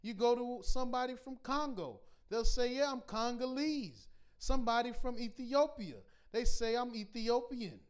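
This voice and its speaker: A man teaching a scripture class in a preaching style, reading verses slowly and pressing his points with repeated rhetorical questions. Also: extremely high pitch, clear, resonant tone. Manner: emphatic, repetitive rhetorical questions; slow deliberate scripture reading.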